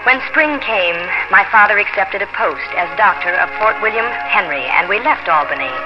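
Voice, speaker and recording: A woman's voice: mid-range voice, animated, normal speed, natural voice. A young colonial heroine, played by a woman speaks with earnest, dramatic feeling and careful diction. She sounds like old radio drama, in a muffled recording with prominent music. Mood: wistful, somber. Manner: earnest, dramatic, clearly enunciated. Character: spirited, grieving young English colonial daughter. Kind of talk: 1950s radio drama acting with first-person narration.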